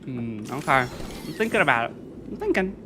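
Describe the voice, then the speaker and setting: A game streamer talking casually through a live stream, chatting with viewers and reading chat aloud in a soft, soothing way. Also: mid-range voice, very animated, brisk, noisy background. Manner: chatty, reads and answers chat.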